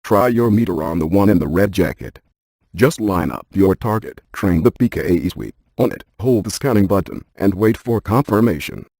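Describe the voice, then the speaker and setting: A methodical male scientist for a paranormal video game. His voice is fairly low and notably husky. He speaks in a precise, technical, deadpan way, like someone who takes every measurement seriously. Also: moderate pace, dry recording, studio quality, full character voice. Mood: methodical, instructive. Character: cerebral, methodical paranormal scientist, adult man. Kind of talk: scripted video game dialogue.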